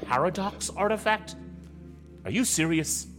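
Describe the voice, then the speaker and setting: A man's voice: high voice, lively intonation, unhurried, a bit bright, faint music behind. A man playing a formal, guarded security official in a science fiction audio drama. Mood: skeptical, defensive.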